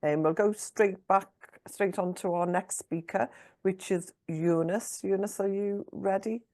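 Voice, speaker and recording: A woman hosting an online forum who thanks one speaker and introduces the next in a brisk, upbeat way. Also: medium pitch, fairly even intonation, a little mellow, no echo, studio quality. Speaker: forum host.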